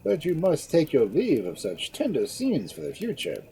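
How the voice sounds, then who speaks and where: A woman's voice: deep voice, huge pitch swings, normal speed, warm tone, a little hiss or hum, voice pushed up, exaggerated voice. A woman doing a hearty old duke. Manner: hearty, reassuring. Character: genial older male duke, indulgent. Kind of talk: storybook reading.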